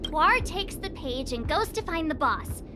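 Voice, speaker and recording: A woman's voice: high voice, big pitch swings, measured pace. A young woman voicing a bubbly girl who narrates a made-up tale in a game cutscene. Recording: game audio over music.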